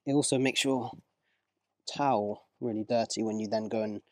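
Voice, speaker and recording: A man in a casual vlog, talking through his car wheel cleaning outdoors in a relaxed, rambling way, with small self-corrections, his voice moving closer and farther from the camera. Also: lowish voice, moderate pace, dry recording, studio quality. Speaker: car rental host. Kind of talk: casual vlog narration while working.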